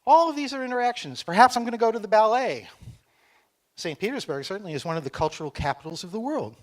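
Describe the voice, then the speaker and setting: A middle-aged American man from Texas, giving a joking, chatty conference talk on stage and speaking slowly for a foreign audience. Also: high voice, expressive. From Texas, United States. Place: conference hall.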